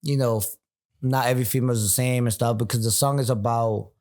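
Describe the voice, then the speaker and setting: A young man, a rapper guest talking in a relaxed, slangy way. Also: mid-range voice, quick pace. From Puerto Rico, raised in Philadelphia. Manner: laid-back street slang. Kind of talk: casual chat. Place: bar.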